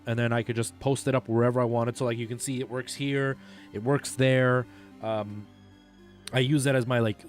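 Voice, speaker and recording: A man chatting casually and a bit haltingly while he streams a game, thinking aloud in an informal way. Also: medium pitch, darkish tone. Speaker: live streamer. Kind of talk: casual chat while gaming. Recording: music playing behind the voice.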